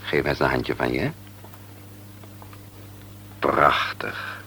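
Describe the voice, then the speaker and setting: An actor playing a calm, kindly family doctor in a Dutch radio play, with a reassuring, businesslike bedside manner. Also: male voice, rumbling low, takes it slowly, creaky, heavily acted. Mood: kindly coaxing. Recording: noisy old radio play recording.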